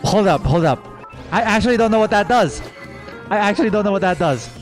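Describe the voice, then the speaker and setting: A man doing a casual live gameplay reaction as a streamer, informally thinking out loud. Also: sits high, expressive, brisk. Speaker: game streamer. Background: faint game music.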